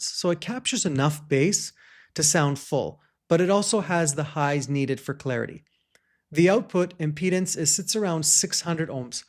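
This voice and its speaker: A man hosting a gear review, talking in an enthusiastic, chatty way with small restarts, recorded on a shotgun microphone. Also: on the high side. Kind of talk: product review talk.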